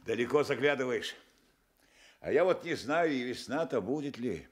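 An actor playing a calm, consoling village man, speaking plainly in a Soviet radio drama. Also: medium pitch, moderate pace, close to an everyday voice. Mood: friendly. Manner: plain, colloquial, reasoning.

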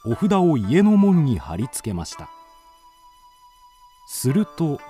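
A man's voice: a little high, mellow. A man narrating a scripted ghost story in a polite storytelling manner.

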